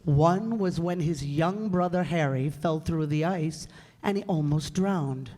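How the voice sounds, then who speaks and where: A performer playing a calm, authoritative and kindly senior angel in a radio drama, patiently giving instructions. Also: mid-range voice, measured pace, natural voice. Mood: grave, explanatory. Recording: echoey hall, long reverb.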